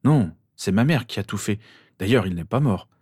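A teenage boy wizard from a storybook, read by a man, earnest and wounded. His voice is husky and defensive, in a dry recording. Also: fairly low pitch, animated, normal speed, a little mellow, no room sound.

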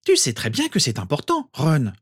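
A man reading aloud and voicing a bossy, sharp teenage girl witch, with a husky voice. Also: fairly high pitch, wildly swooping pitch, moderate pace, pitched above the performer's own voice, full character voice. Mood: scolding, concerned.

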